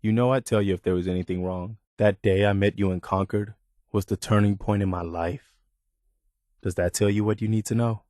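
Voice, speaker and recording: An actor playing an earnest, loyal militia lieutenant in a video game, sincere, respectful and soldierly. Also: male voice, on the low side, fairly even intonation, brisk, a little mellow, dry recording, studio quality, natural voice. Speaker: companion character in a video game.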